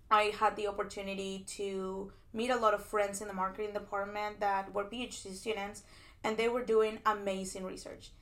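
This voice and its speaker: A young woman from Peru tells her story in a casual interview, her pitch staying level and even throughout. She is chatty and loose, full of restarts and "like"s. It sounds like a recording made at a conference. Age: young adult. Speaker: PhD student guest. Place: conference venue.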